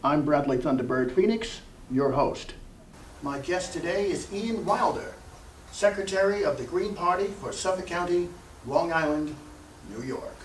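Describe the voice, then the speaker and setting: A man hosting an interview show, asking his questions in a deliberate, formal way. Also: fairly high pitch, animated. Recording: roomy, distant mic, faint noise.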